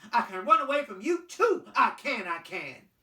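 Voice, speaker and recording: A cheeky little johnny cake boasts in a bright sing-song as a storyteller acts it out. The voice is lifted and lively, heard from a little way off in a roomy space. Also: mid-range voice, moderate pace, pitched above the performer's own voice. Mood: cheeky, boastful. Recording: roomy, distant microphone.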